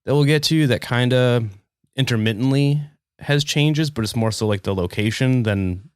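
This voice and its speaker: A man's voice: mid-range voice, normal speed, clear, resonant tone, no echo, studio quality. A man presenting on a podcast in an informal, casual explanatory chat with a wry streak.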